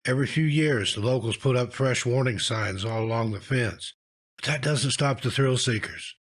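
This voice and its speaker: A man narrating scripted scary stories in a measured, dramatic storytelling manner, letting his phrases drop at the end. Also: medium pitch.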